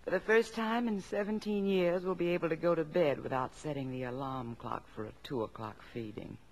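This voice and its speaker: An actress playing a patient, devoted, middle-aged wife and mother, composed and gently teasing, in a 1950s radio drama. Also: deep voice, fairly quick, lightly acted, rough recording. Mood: fond, wry.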